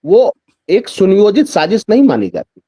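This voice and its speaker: High-pitched emphatic man, political commentator. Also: huge pitch swings, moderate pace, dark tone, no room sound, studio quality. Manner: emphatic, repeats phrases, poses rhetorical questions. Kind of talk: extended political commentary in a news discussion.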